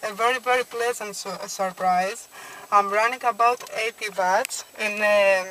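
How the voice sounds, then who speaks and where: A woman in her thirties with a Greek accent, talking as an amateur radio operator, halting as she searches for words. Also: mid-range voice, steady tone, pushed and bright, slight background noise, poor audio. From Greece. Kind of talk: ham radio contact, conversational. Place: inside a car at night.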